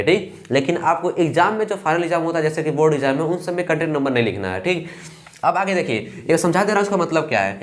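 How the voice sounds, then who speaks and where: A young man with an eastern Uttar Pradesh Hindi accent teaching a lesson, reading English lines slowly and carefully. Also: sits high. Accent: Hindi speaker from eastern Uttar Pradesh. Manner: slow and careful when reading English lines, fillers "ठीक" between steps. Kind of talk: explaining a lesson.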